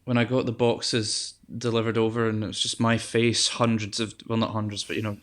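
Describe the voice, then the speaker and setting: A young man with a soft voice, a guest musician chatting casually in an interview, relaxed and hesitant with many ums and restarts. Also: on the low side, a little fast.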